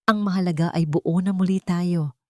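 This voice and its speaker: A woman plays a frail old mother in a dramatic audio story. She is tender and forgiving, speaking in a gentle, consoling way, recorded close and dry. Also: lowish voice, steady tone, moderate pace, dry recording, close to an everyday voice.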